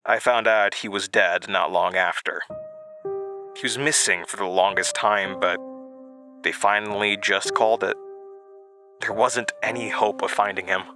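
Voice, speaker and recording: Man acting out a gentle woman, philosophical, comforting, wistful, audio drama dialogue, clear music bed. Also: lowish voice, fairly even intonation, a little slow, pauses often. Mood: sad, wistful.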